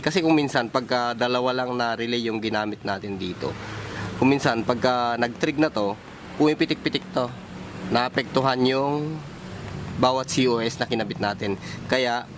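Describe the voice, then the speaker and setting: Filipino man demonstrating over clear background noise. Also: medium pitch. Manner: halting, thinking aloud while pointing things out. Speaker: electronics hobbyist presenter. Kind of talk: informal hands-on technical demonstration.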